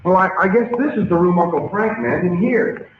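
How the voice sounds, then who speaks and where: A male actor playing an eager, curious boy in an old-time radio adventure drama, excitable and full of questions. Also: sits high, a little fast. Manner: excitable, inquisitive. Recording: echoey, noisy, dull old radio recording.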